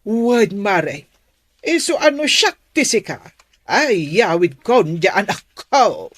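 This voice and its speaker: Radio drama role: middle-aged busybody neighbour, lively swinging intonation, gossipy yet hesitant, close dry sound. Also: female voice, mid-range voice, slow pace, dry recording. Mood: nosy, gossiping. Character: gossiping neighbour woman, nosy, then sheepishly apologetic. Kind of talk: Ilocano radio drama acting.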